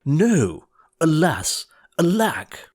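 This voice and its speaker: A man's voice: fairly high pitch, sing-song, drawn-out words, darkish tone, pitched above the performer's own voice, full character voice. Man playing a pompous, hot-tempered storybook prince, raised voice. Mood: pleading. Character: pompous, hot-tempered, brutal young fairy-tale prince. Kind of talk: storybook character voice in rhyming verse.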